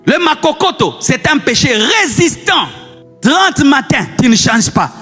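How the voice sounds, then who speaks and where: A man's voice: extremely high pitch, big pitch swings, measured pace, sharp tone. A man with a Congolese French accent preaching a fiery sermon, exhorting his listeners with insistent repetition and rhetorical questions. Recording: long hall reverb, music underneath.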